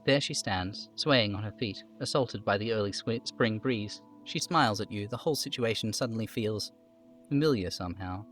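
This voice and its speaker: A man streaming a video game, reading its narration aloud live in a theatrical, deliberate way. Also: fairly low pitch, a bit bright, soft background music.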